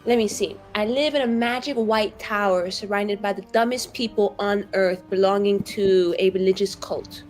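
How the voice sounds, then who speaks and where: A woman's voice: mid-range voice, normal speed, hardly any pauses, faint music behind, natural voice. A woman playing a snobbish, spiteful mage who writes illicit romances, talking in a casual, sardonic modern way. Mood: haughty, bitter.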